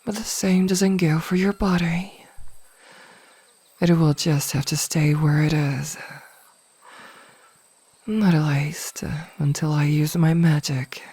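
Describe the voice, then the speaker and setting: A low, velvety-dark female mage played by a woman in a hypnotic ASMR fantasy roleplay, possessive and smugly sweet. She takes her time with every phrase, coaxing and often whispering, very close to the microphone. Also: fairly even intonation, takes it slowly, long pauses, breathy edge, dry recording, heavily acted. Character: possessive, smugly teasing adult female mage toying with a captive burglar. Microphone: close microphone, dry.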